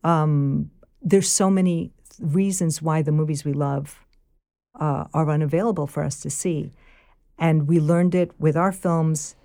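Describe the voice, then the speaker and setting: A woman's voice: sits low, steady tone, measured pace, warm tone. A woman with a New York accent, talking as a filmmaker guest in a casual interview, rambling with many restarts.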